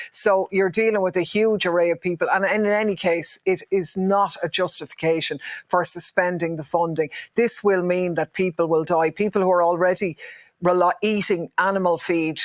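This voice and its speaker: A woman as a guest politician in an interview, forceful and outraged, speaking firmly. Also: on the low side, no room sound. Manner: forceful, outraged, firm and emphatic. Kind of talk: impassioned interview answers.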